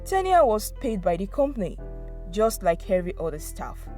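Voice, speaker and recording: A woman's voice: mid-range voice, very animated, normal speed, dark tone, faint music behind. A woman narrating a scripted dramatic folktale as a storyteller.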